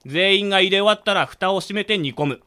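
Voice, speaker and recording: A man's voice: sits high, brisk, bright, forceful tone. A man playing a boisterous young man in a radio drama, announcing things theatrically as the ringleader of a game. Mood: excited, commanding. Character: boisterous young man gleefully hosting a dark hot-pot game. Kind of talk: amateur Japanese radio drama acting.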